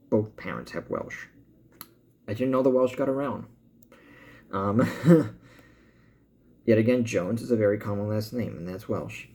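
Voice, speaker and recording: A man with an American accent talking casually as a vlogger, thinking aloud in halting commentary as he reads through results. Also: medium pitch, vocal fry, warm tone, slight background noise. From born in Cuba, grew up in the US. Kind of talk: casual update talk, reading results aloud.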